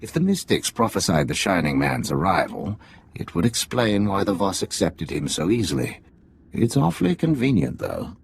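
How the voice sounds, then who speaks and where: A shrewd undercover spy in a video game, speaking very low with a gravelly edge. The delivery is dry and measured, yet the pitch swings widely as he explains. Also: sing-song, a little hiss or hum, heavily acted. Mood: briefing, dry. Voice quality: gravelly, slightly husky. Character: undercover Imperial agent posing as a native, wry, shrewd. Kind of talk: video game cutscene acting.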